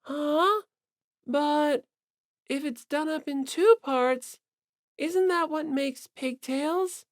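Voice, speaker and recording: A man voicing a timid, gentle, easily frightened teenage girl in an audiobook, hesitant and meek. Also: very high-pitched, very slow, no echo, clean studio sound, voice pushed up, exaggerated voice.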